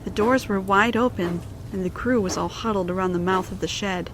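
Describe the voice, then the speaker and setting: A woman's voice: medium pitch, a little fast, noisy background. A woman reading scripted storybook narration for an audio drama.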